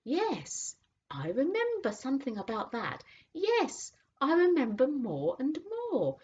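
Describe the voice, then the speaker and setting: A woman voicing a slow, muddled old horse. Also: high voice, huge pitch swings, darkish tone, breathy edge, pitched above the performer's own voice, full character voice. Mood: musing. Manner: slow and thoughtful. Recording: dull lo-fi audiobook recording.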